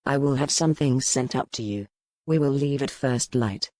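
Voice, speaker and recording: Stern warrior duke for a historical romance audiobook, played by a woman: pitched well down, terse, courtly, dry close recording. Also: darkish tone, dry recording. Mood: stern, formal. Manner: formal, terse, measured courtly phrasing. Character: gruff commanding medieval duke, a stern male warrior.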